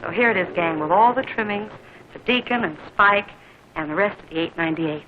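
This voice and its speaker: A woman's voice: mid-range voice, fairly quick, a little hiss or hum. A woman radio host introducing a song in 1940s radio announcement style, with cheerful showbiz patter. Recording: dull old 1940s radio recording.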